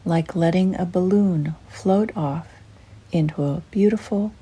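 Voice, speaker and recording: An older woman with a soft, breathy, husky voice, gently and soothingly narrating a guided meditation in an unhurried way. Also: lowish voice.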